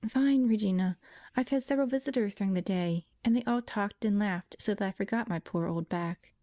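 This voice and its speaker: A woman's voice: medium pitch, fairly quick, mellow, no room sound, clean studio sound, natural voice. A woman voicing a frail, pious young invalid woman who pleads tenderly and tearfully. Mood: gentle.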